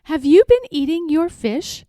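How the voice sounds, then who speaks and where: A woman's voice: high-pitched, very animated, unhurried, warm tone, dry recording, studio quality, pitched above the performer's own voice. A woman voicing an imperious, critical young queen and mother in a storybook, regal and stern. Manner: regal, declaring, questioning sternly.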